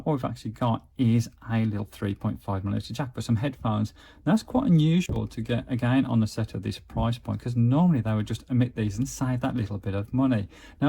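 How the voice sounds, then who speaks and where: A man's voice: mid-range voice, creaky, warm tone, slight background noise. A man giving a casual, methodical product walkthrough as a gadget reviewer. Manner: methodical, explanatory.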